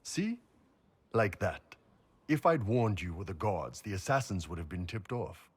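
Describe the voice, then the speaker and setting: A hulking horned mercenary captain for a fantasy game. The voice sits low and is rough and husky. He talks bluntly and casually, with a dry, wry edge. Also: big pitch swings, a little slow, full character voice. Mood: wry, matter-of-fact. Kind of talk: video game voice acting.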